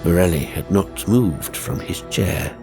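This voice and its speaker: A man reading a horror audiobook as narrator, with deliberate, suspenseful, dramatic storytelling. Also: low-pitched. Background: music and sound effects.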